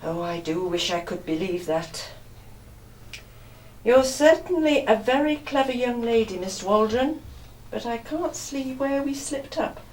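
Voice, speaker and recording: A woman's voice: a little high, expressive, unhurried, darkish tone. Woman playing a coolly menacing villainess, distant. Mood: condescending. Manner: polite, condescending, threatening. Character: coolly menacing female villain, a corrupt police officer. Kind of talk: live radio play acting. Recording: distant echoey hall, faint noise.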